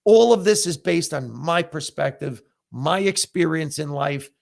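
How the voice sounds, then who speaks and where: A man's voice: a little high, measured pace, creaky, a little mellow. A middle-aged man whose first language is Italian, hosting a live podcast and giving candid opinions in a casual, conversational way with plenty of fillers. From United States. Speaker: comedian and podcast host. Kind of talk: casual live-stream chat.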